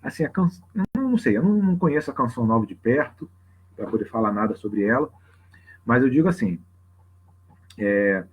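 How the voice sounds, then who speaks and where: A man with a husky voice and a Brazilian Portuguese accent, explaining things informally and off the cuff to a livestream audience, often checking in with tag questions. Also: mid-range voice, animated, brisk, long pauses. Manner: conversational, frequent tag questions. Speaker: religious teacher hosting a live open class. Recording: slightly dull lo-fi livestream audio.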